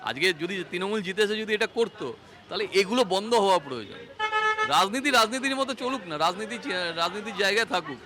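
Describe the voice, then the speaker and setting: A man with a West Bengal accent making a halting, earnest spontaneous statement to the press. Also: sits high, lively intonation. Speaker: complainant speaking to press. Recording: outdoors with faint crowd behind.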